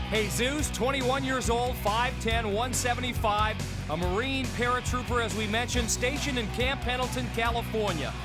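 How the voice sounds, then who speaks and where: A man hosting a game show and calling the action, shouting with excitement. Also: very high voice. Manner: shouting excitedly over the crowd. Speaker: game show host and play-by-play announcer. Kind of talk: energetic arena announcing. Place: indoor sports arena. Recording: loud crowd and music behind.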